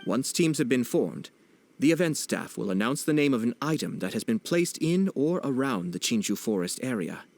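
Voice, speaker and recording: An actor playing a poised young nobleman in a video game, speaking in a formal, measured, politely wry way. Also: medium pitch, big pitch swings. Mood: explanatory, calm. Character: poised young nobleman, courteous, composed and subtly teasing. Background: background music.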